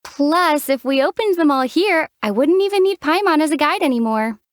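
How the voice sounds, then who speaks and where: Game lead: young female traveler, calm and deadpan teasing, high lively voice, dry booth. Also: normal speed, no echo. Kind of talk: studio game voice acting. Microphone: studio mic.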